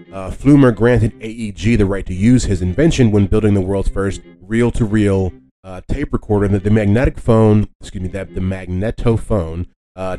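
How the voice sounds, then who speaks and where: A man hosting a podcast, explaining history casually in a halting, conversational way and often rephrasing himself. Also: lowish voice, mellow. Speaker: podcast host.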